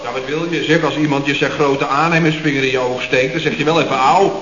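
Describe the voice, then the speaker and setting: A man's voice: mid-range voice, steady tone, quick pace, a bit bright, natural voice. An actor playing a teasing colleague in a radio comedy serial, chattily and wryly narrating his boss's blunders. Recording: hissy, dull, echoey old radio recording.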